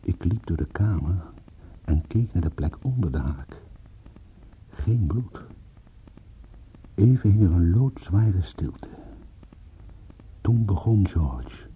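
An actor narrating a radio play as a world-weary, self-mocking private detective, husky and gravelly, wry and deliberate. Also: male voice, low voice, expressive, a little hiss or hum. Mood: tense, wry. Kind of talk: hard-boiled first-person radio drama narration. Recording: tinny narrow-band radio play recording.

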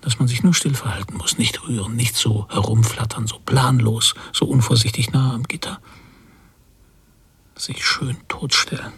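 An anxious, inward-looking emigrant in a literary radio drama. His voice is very husky and breathy with a gravelly creak, often close to whispering, and he speaks in halting, brooding fragments. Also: mid-range voice, steady tone, fairly bright tone. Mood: fearful, hushed. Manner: halting, introspective, short fragments. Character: anxious, brooding emigrant husband haunted by memories.

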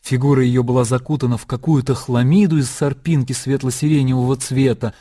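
A man reads scripted literary narration in a composed way. His voice is soft-edged and mellow, at a middling pitch. He tells the story like a classic radio play narrator. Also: fairly even intonation, fairly quick.

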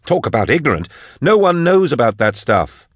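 A man voicing an outspoken, indignant male scholar who challenges and yells sarcastically in an audiobook story. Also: medium pitch, very animated, normal speed, no room sound, voice pushed up, close to an everyday voice. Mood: furious.

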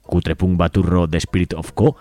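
A man's voice: low voice, steady tone, moderate pace, mellow, dry recording. A man from Spain, reading out events as a radio co-host in an informal, wry, casual way.

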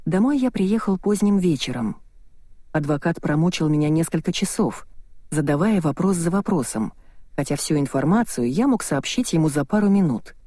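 An audiobook narrator reading a first-person novel in a measured, expressive storytelling manner. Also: mid-range voice, no room sound, studio quality.

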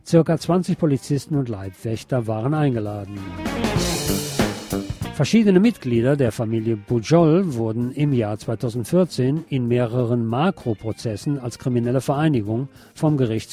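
Male news presenter, soft mellow tone, wry deliberate commentary read from script, faint guitar music underneath. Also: medium pitch.

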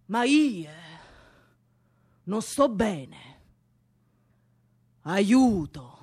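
A woman's voice: medium pitch, very animated, extremely slow, a little mellow, slightly rough, no room sound, clean studio sound, full character voice. A woman acting in a radio play as an unstable figure, repeating words obsessively in theatrical outbursts. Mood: desperate. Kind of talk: theatrical radio drama monologue.

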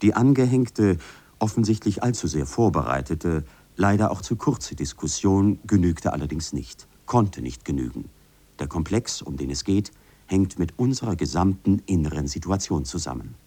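A man's voice: deep voice, expressive, moderate pace, dark tone, husky. A man giving a formal scripted reading of quoted texts.

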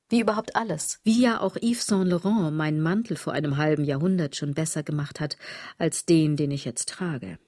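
Sardonic, low-voiced woman in radio monologue. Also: a little mellow, no echo, studio quality. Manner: ironic, self-correcting, insistent rhetorical delivery. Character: sardonic, rambling woman brooding on fashion and clothes. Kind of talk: literary radio drama monologue.

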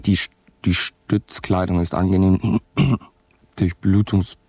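An actor playing a groggy astronaut with a sluggish tongue, haltingly dictating a formal mission log in a science fiction radio drama. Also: male voice, sits low, husky, no room sound, exaggerated voice. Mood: groggy, factual. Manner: halting, stumbling over words, flat reporting. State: sluggish tongue after long sleep.

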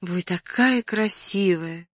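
A woman's voice: mid-range voice, expressive, no room sound. A meek young actress from a storybook, played by a woman narrator, speaks in a small trembling voice. She is wistful and apologetic, with a streak of hurt pride, and the sound is close and dry. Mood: shy, admiring. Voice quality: trembling, frightened little voice. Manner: quiet, meek, halting.

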